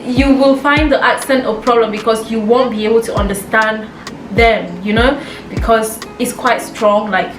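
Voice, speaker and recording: A woman's voice: fairly high pitch, steady tone, normal speed, recorded from a distance, noisy background. Chatty young woman, roomy and off mic. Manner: chatty, laughs often, many fillers. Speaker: vlogger and medical student. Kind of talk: casual chat. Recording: roomy echo, a bit off mic, music behind.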